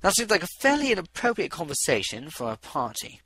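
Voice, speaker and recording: A man's voice: fairly high pitch, huge pitch swings, a little fast, sharp tone, slightly rough, pitched above the performer's own voice, full character voice. A man voicing an aloof, erudite male pony doctor in a storybook, formal and wordy. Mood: cool.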